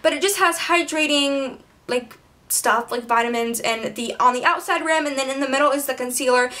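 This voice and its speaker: A high-pitched teenage girl talks through her makeup routine in a loose, chatty way. She keeps dropping in fillers and laughing at herself, and her voice sounds a little hoarse, like it's giving out. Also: normal speed, bright, forceful tone. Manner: chatty, many fillers, self-mocking asides. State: slightly hoarse, losing her voice. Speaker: beauty vlogger. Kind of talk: casual makeup tutorial chat.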